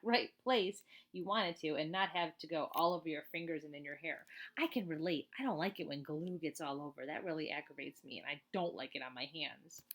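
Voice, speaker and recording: A woman reading a children's book aloud as a teacher, in an expressive, chatty way with casual asides. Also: fairly low pitch. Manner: expressive, chatty, emphatic on list items.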